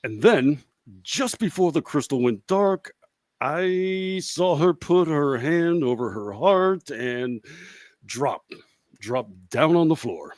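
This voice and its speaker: A man's voice: a little high, lively intonation, slow, dry recording, studio quality. A man playing a roguish, bumbling fortune-telling professor in a comedic radio play, with hesitant patter and wry asides. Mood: solemn, wry.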